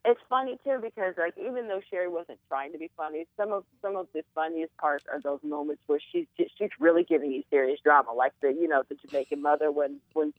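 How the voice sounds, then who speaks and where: A woman guest actress chatting in a radio interview, bubbly and quick to laugh. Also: sits low. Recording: tinny phone line.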